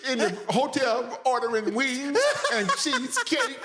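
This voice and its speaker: Podcast host: American man, playful and preacherly, high-pitched and very animated. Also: unhurried. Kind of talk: casual joking podcast interview.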